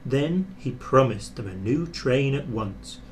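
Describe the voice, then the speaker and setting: A man narrating a children's story in an expressive, scripted reading style. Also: mid-range voice, slow pace, a little hiss or hum. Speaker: storybook reader.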